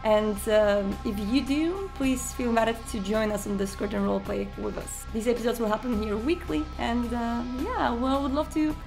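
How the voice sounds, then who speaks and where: A woman's voice: on the high side, moderate pace, creaky, few pauses. Woman introducing a campaign over music. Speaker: campaign organizer and player introducing the session.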